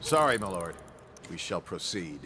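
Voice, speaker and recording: A man's voice: low-pitched, very animated, normal speed, heavy background noise. A man voicing a formal, businesslike duel official making announcements in a video game. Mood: formal, brisk.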